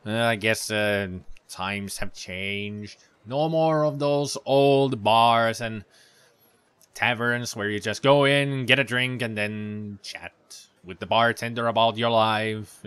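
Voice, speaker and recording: A man's voice: mid-range voice, fairly bright tone, smooth, no room sound. A man giving casual, rambling commentary over gameplay, with sarcastic asides. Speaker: let's play commentator.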